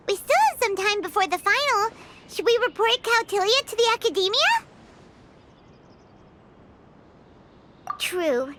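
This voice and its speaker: A woman voicing a tiny, excitable fairy girl companion in a video game, with a squeaky, cutesy, childlike voice. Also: background noise. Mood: determined. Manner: cutesy, refers to self by name in third person. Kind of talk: scripted video game character dialogue. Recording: game music behind the voice. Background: background music.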